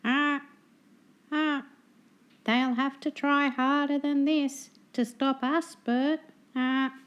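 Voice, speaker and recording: Lady doing a greedy boy seagull, high and cheeky, teasing storybook scavenger. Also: steady tone, slow, fairly bright tone, dull audio. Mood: boastful. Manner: gloating, teasing. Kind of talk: children's storybook character voice.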